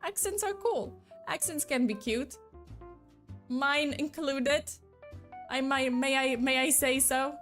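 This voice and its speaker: A woman's voice: high voice, slow, a bit bright, faint music behind. A young woman with a slight mixed accent, not a native English speaker, rambling in a casual live-stream chat with lots of fillers. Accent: slight mixed non-native.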